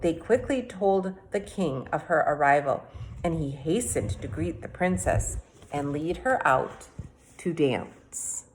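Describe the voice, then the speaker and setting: Lower-pitched woman reading a storybook aloud. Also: animated, slow, a little hiss or hum, rough recording. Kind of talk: expressive storybook read-aloud.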